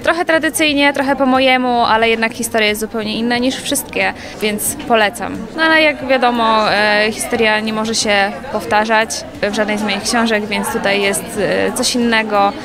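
A woman with a fairly high voice answers as an interviewed author, hesitating as she looks for words. A noisy crowd is clearly heard around her. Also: steady tone, normal speed, few pauses. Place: public book fair. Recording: busy crowd noise at book fair. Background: crowd chatter.

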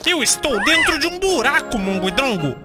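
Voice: sits high, very animated, a little fast. An actor voicing a wisecracking, melodramatic, exasperated male cartoon rabbit with a Brazilian accent. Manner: sarcastic, melodramatic. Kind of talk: cartoon parody dialogue. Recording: music behind the voice.

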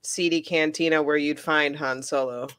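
A woman's voice: low voice, fairly even intonation, moderate pace, fairly bright tone, smooth, no echo. A woman chatting casually on a group video call, with a wry manner. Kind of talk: casual chat.

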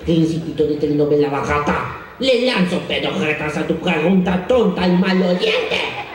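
A man's voice: on the high side, distant mic, natural voice. A young man playing a mocking castle guard who puts on a fake French accent on a school stage. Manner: taunting, drawn-out insults. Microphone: far camera in the audience. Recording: echoey theater hall with music.

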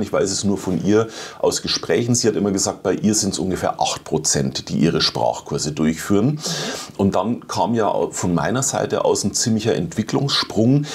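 A man's voice: low-pitched, creaky, a little mellow, slightly rough. A middle-aged man, a neuroscientist guest, explaining at length in a studio interview, often adding tag questions like "ne?". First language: German. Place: small TV studio set.

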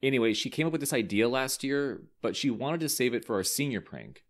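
A man voicing a gruff, deadpan teenage boy in an audiobook, deliberate and understated. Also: mid-range voice, natural voice. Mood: resolved, scheming. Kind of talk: audiobook character dialogue.

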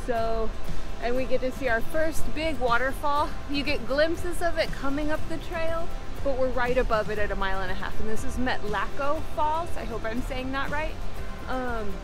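High-voiced woman vlogging a hike. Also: moderate pace, over music, rough recording. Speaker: hiking vlog host. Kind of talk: casual vlog chat to camera. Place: outdoors on a forest hiking trail.

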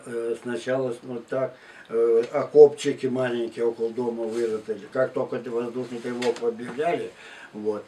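A man in his nineties from Russia, slowly and haltingly recounting his life story in an interview. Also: mid-range voice, darkish tone. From Tula region and Moscow outskirts, Russia. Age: 92, elderly. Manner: halting, unhurried, with restarts and pauses. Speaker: war veteran interviewee. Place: living room at home, indoors. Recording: distant mic, faint room noise.

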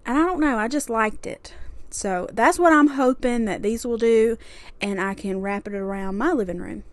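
A woman's voice: on the high side, normal speed, dry recording. A young woman casually narrating a home cleaning vlog in a chatty, homely way with small laughs. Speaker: cleaning vlogger.

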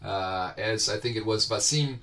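A man's voice: on the low side, moderate pace, a bit bright. A middle-aged man with a Brazilian Portuguese accent gives an informal online university lecture, conversational and often checking in with his students. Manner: conversational, questions students often, gestures while talking. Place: home office with bookshelves. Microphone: webcam on a video call. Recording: slightly distant video-call audio.

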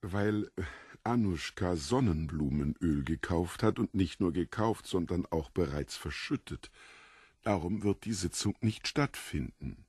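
A devilish foreign gentleman in an audio drama, deep and swooping in pitch. He is theatrical, playfully sly and elaborately polite. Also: lively intonation, a little slow, husky, dry recording, studio quality, full character voice. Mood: politely certain. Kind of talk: German audio drama acting.

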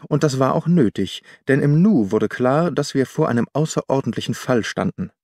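A man reading a literary story as an audiobook narrator, in a measured, formal storytelling manner. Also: medium pitch, lively intonation, darkish tone, dry recording, clean studio sound.

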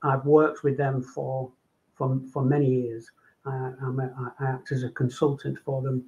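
A man's voice: medium pitch, level tone, measured pace, darkish tone. An older man from Manchester with a Manchester accent, talking about his work and life on a video call from home, with many ums and repeated words. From England. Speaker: guest doctor. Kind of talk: casual video call interview. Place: home room. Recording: dull lo-fi video call audio.

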